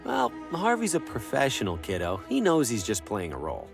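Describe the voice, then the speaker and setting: An earnest, harried theater dad in a video game. His mid-range voice swings very widely in pitch, with faint music underneath. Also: huge pitch swings, fairly quick, creaky. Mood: reassuring. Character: earnest, harried father running a small theater. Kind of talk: dramatic game dialogue.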